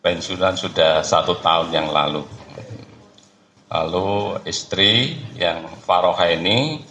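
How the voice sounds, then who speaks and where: A man in his sixties with a Javanese accent speaks in a deep voice, very unhurried and halting. He is introducing himself informally in an echoey room. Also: pushed and bright, a little hiss or hum. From Java, Indonesia. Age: 60s. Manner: halting, short measured phrases. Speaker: retired teacher introducing himself. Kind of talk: informal self-introduction to a gathering.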